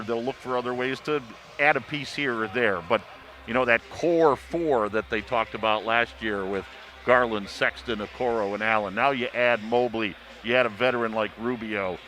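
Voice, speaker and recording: A man working as a sports announcer, giving polished basketball analysis in measured, emphatic phrases. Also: mid-range voice, fairly even intonation, pushed and bright.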